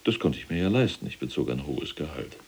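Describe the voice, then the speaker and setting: An actor playing a pompous, pedantic middle-aged SS general in a German radio play, husky and haughty with clipped delivery. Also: male voice, rumbling low, lively intonation, brisk, darkish tone, full character voice. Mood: self-satisfied, matter-of-fact.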